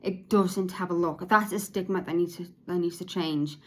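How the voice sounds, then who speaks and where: Low-voiced woman talking earnestly. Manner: says her voice is sometimes monotone; halting, with frequent restarts and repeated words. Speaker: podcast host.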